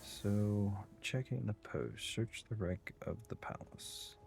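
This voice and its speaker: A man doing casual live gameplay commentary, thinking aloud and muttering to himself in short, unhurried phrases. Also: on the low side, lively intonation, creaky, a little mellow, slight background noise. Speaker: game streamer.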